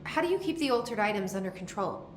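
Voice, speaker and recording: An actress playing a steady, guarded young woman agent with terse, level speech and muttered asides. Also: mid-range voice, fast talker, lightly acted. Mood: firm. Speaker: lead character searching for her brother. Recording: echoey game cutscene with faint hiss.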